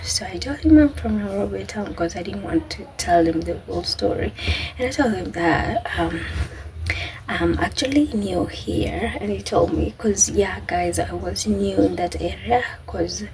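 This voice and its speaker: Kenyan woman storyteller with a creaky voice. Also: mid-range voice, measured pace, background noise. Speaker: vlogger. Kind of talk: casual personal storytelling to camera.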